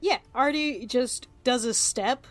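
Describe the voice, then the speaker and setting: A woman's voice: on the high side, slow pace, soft background music. A woman playing a tabletop game, casually describing her moves.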